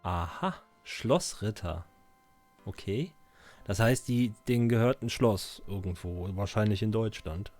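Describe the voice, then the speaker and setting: A man presents a game playthrough in a fairly low voice. He thinks aloud casually, murmuring short reactions and musing over what to do, with faint music behind him. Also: animated, unhurried, darkish tone. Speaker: Let's Play presenter.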